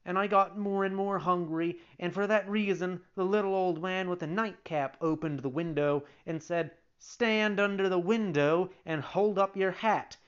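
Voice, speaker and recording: Storybook puppet boy played by an adult reader: raised pitch, petulant and whiny, childish breathless pleading, slightly dull recording. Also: normal speed, few pauses, clean tone, lightly acted. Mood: whining, self-pitying.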